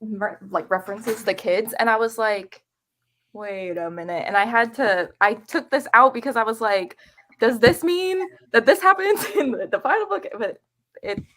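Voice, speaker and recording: A woman with a slightly high voice that moves up and down a lot. She chats casually and animatedly, giggly, in the manner of a livestream co-host. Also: animated, moderate pace, a bit bright. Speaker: co-host of a book discussion livestream. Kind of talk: casual chat.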